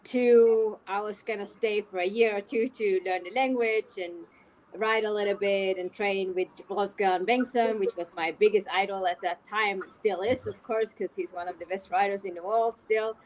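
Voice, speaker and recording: A woman's voice: medium pitch, fairly even intonation, moderate pace, darkish tone, low-quality recording. A woman in her thirties with a Swedish accent, chatting casually as an interview guest. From Sweden. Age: 30s. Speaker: interview guest, equestrian athlete.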